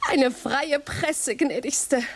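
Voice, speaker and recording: An actress playing a brisk, self-assured press agent in a radio play, speaking in a crisp, businesslike way. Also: female voice, sits high.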